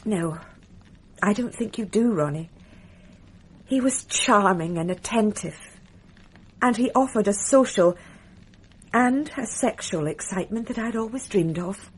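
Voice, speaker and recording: An actress playing a married woman in a radio drama who tells a policeman, softly and confidingly, about a past affair. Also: mid-range voice, animated, unhurried, long pauses, slight background noise, natural voice, poor audio. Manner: soft, confiding, wistful.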